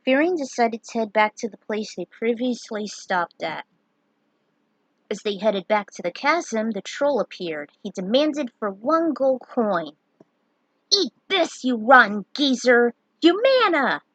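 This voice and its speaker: A woman's voice: fairly high pitch, expressive, a little slow, no room sound, low-quality recording. A woman reading fantasy fan fiction aloud as its narrator. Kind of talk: story narration read aloud.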